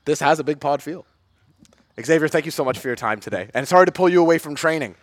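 Man, podcast co-host, wry teasing, higher voice, very lively pitch. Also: fast, dry recording, studio quality. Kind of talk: casual podcast interview.

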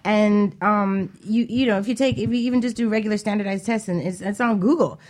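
Fast-talking, outspoken woman. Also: medium pitch, steady tone, darkish tone. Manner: outspoken, emphatic, repeats phrases for stress. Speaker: guest, homeschooling mother. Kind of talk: casual, candid radio interview talk.

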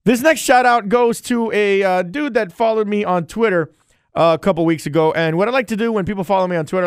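A man on a casual solo podcast who talks in a loose, rambling, friendly way. His voice sits a little high and sounds smooth and resonant. The recording is close and dry, with no room sound. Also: dry recording. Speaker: podcast host and streamer.

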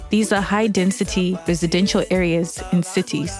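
A woman hosting and narrating a documentary episode in a clear, measured way. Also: on the low side, steady tone, darkish tone, faint music behind. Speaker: documentary host. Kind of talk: scripted narration.

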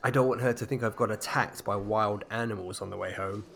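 An actor playing a polite, eager newcomer to a village in an audio drama, hesitant and apologetic. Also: male voice, on the low side, steady tone, fairly quick, gravelly, fairly bright tone. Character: eager, awkward, polite newcomer husband from London. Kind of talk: naturalistic audio drama acting.

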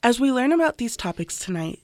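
A woman's voice: a little high, sing-song, fairly quick, a little mellow, no echo, studio quality. A woman co-hosting a radio program, reading scripted introductions and narration. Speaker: radio show co-host.

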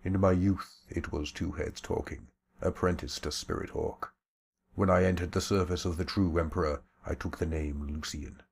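A man as a quietly menacing warrior. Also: sits low, steady tone, normal speed, creaky, slightly rough, exaggerated voice. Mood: solemn. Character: calm menacing male warrior.

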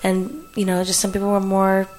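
A woman playing a breezy, evasive, apologetic lover in improvised radio comedy, speaking haltingly and with understatement. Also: medium pitch, steady tone, a little mellow, slight background noise, close to an everyday voice.

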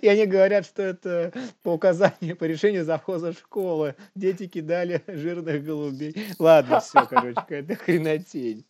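A man's voice: high voice, moderate pace. A man co-hosting a comedy news show, bantering casually and jokingly in slang and acting out bits.

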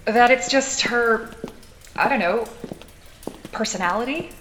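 A woman's voice: on the high side, unhurried, a bit bright. A woman playing a tough, inquisitive character in a cyberpunk audio drama, talking in a wry, teasing, sardonic way. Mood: sardonic, curious. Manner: sardonic, probing, playful. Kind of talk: naturalistic audio drama acting. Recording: echoey sewer-like space, background noise.

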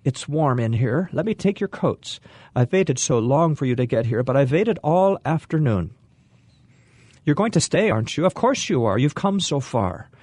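A man playing a confused, warm-hearted elderly German woman with a German accent in a storybook reading. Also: sits low, very animated, normal speed, husky, no room sound, heavily acted. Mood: eager. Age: older. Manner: eager, welcoming, mishearing.

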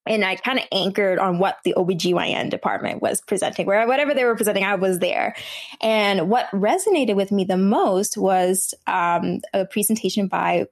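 A young woman in her twenties with a New Jersey accent and a slightly hoarse voice, talking casually as a podcast guest, with many fillers and restarts. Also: medium pitch, normal speed. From New Jersey, USA. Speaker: guest, medical student. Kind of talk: podcast interview.

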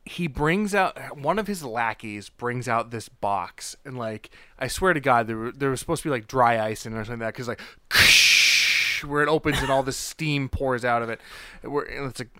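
A man co-hosting a casual film recap, retelling things in an animated, joking way with some mild swearing. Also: mid-range voice, brisk, clean tone, no echo. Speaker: podcast co-host recapping a film. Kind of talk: casual chat.

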